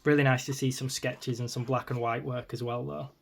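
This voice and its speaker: A man's voice: mid-range voice, flat delivery, brisk, creaky. A man hosting his channel, chatting casually while flicking through an art book, his voice a little strained from a long day of talking, with frequent ums and asides. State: voice slightly strained, losing it.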